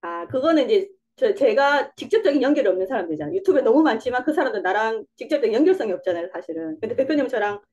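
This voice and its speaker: Fast-talking woman with a Busan accent. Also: fairly high pitch, lively intonation, darkish tone, highs cut off. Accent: Busan dialect. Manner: rambling, laughs often, self-deprecating. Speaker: interview guest, coaching student. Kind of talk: casual interview answers.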